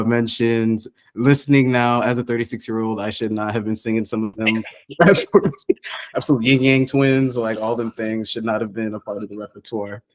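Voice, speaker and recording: A man in his thirties, raised in Southern California, chatting in an upbeat, lively way and laughing often. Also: mid-range voice. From Costa Rica, raised in Southern California. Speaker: podcast guest and consultant. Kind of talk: casual chat.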